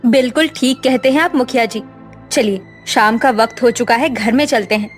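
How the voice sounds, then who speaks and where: A worried village woman in a cartoon story, voiced by a woman, speaks in a somewhat high voice. She sounds earnest and lamenting, with faint music in the background. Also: moderate pace, close to an everyday voice. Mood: anxious.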